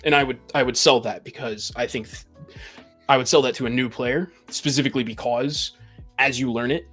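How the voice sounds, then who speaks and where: Man explaining casually, soft music behind. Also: mid-range voice, steady tone, a little fast. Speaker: panel guest on a tabletop gaming podcast.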